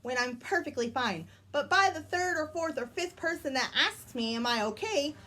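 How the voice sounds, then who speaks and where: A woman reading a picture book aloud as a teacher, in an expressive storytelling style for children. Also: high-pitched, moderate pace.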